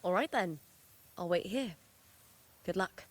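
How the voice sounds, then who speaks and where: A woman's voice: lowish voice, expressive, slow pace. A woman playing a confident, composed female warrior who guides others in a video game. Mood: matter-of-fact. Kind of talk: scripted video game dialogue.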